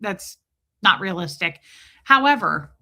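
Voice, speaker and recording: A woman's voice: animated, a little slow, bright, forceful tone. A woman with an American Midwestern accent chatting candidly and casually with viewers as a livestream host. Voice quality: voice deeper than usual from lack of sleep.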